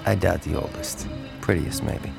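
An actor playing a concerned, supportive reporter in an audio drama, speaking in a dry, understated way. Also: male voice, a bass voice, moderate pace, gravelly, loud music behind the voice, full character voice. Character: male reporter friend, low-key, concerned and supportive. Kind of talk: naturalistic audio drama acting.

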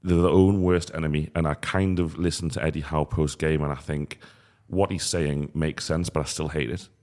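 A man's voice: a bass voice, fairly even intonation, normal speed, a little mellow. A man hosting a football podcast, talking bluntly and swearing in frustration. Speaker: podcast host.

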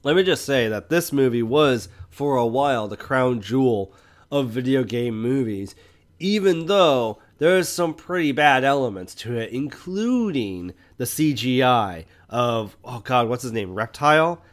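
A man with a mid-pitched voice co-hosts a casual movie discussion. He gives his opinions with enthusiasm and lays out background detail in a conversational, explanatory way. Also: lively intonation, unhurried. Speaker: podcast co-host. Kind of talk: casual film review chat.

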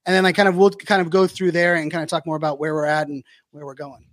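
A man's voice: fairly high pitch, flat delivery, fast talker, dry recording, clean studio sound. A man in his forties hosting a podcast, chatty and upbeat with lots of fillers and restarts. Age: 40s. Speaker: podcast host. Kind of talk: casual interview chat.

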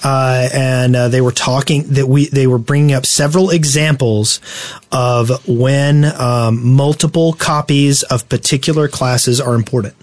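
A man's voice: mid-range voice, fairly even intonation, unhurried, a little mellow, no room sound. A man co-hosting a gaming podcast, explaining things casually and talkatively. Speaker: podcast co-host.

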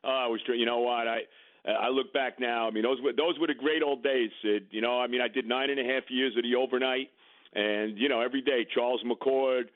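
A man's voice: medium pitch, fairly even intonation, a little fast, no echo. A male radio host reminiscing on a call, rambling with lots of fillers. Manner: rambling with many fillers and restarts. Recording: tinny phone line.

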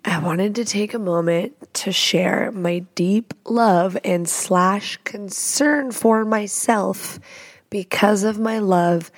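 A woman's voice: mid-range voice, slow, dry recording. A woman hosting a podcast alone, talking bluntly and profanely, stuffy and nasal from a cold, recorded in a small closet full of clothes. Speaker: podcast host. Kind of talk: casual solo podcast rant.

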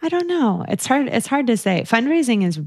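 A woman's voice: a little high, big pitch swings, a little fast, dry recording, clean studio sound. A young woman founder telling her story in a casual interview, candid and chatty with frequent fillers. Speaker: guest, company founder.

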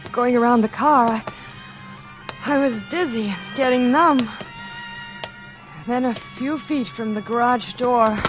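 With music playing behind, a cold-blooded, scheming murderess in a 1940s radio thriller. She speaks in a dramatic, confiding way, moving between coy murmurs and tense confession. The recording has the sound of old radio. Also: fairly high pitch. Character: scheming, cold-blooded former actress turned murderess, narrating her crime.

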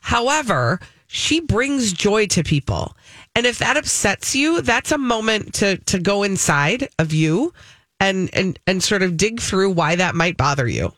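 A woman's voice: mid-range voice, very animated, measured pace, a bit bright, no echo. An American woman co-hosting a casual radio chat, speaking directly and emphatically to listeners, often repeating her points. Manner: emphatic, direct address to listeners, conversational repetition. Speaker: radio show co-host.

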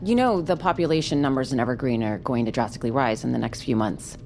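An actress playing an officious, composed and proud archivist in a naturalistic audio drama. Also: female voice, a bass voice, fast, darkish tone, faint music behind. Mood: officious, polite.

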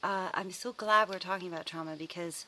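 A woman's voice: on the low side, fairly even intonation, fairly quick, bright, forceful tone, slight background noise, low-quality recording. A woman hosting a podcast interview, with an enthusiastic manner and frequent small laughs. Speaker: podcast host. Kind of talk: interview chat. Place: outdoors at a summer conference.

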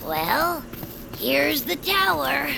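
A woman acting an eager, loyal, adventurous young friend with a gravelly voice and earnest, folksy, old-fashioned slang in an audio drama. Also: high voice, big pitch swings, very slow, noisy background, heavily acted. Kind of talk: audio fiction acting in a quaint old-radio manner.

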